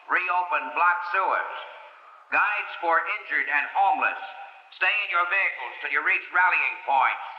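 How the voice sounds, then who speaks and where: Male actor, highish voice, official emergency dispatcher, brisk repeated orders, echoey old radio. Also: lo-fi sound, natural voice. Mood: urgent, official. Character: brisk male civil defense dispatcher on loudspeaker. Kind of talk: official announcements, repeated clearly.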